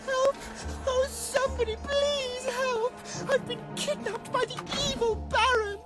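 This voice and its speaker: An actress playing a melodramatic kidnapped princess in distress in a video game cutscene. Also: female voice, extremely high pitch, little pitch movement, a little slow, low-quality recording. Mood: panicked pleading. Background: music.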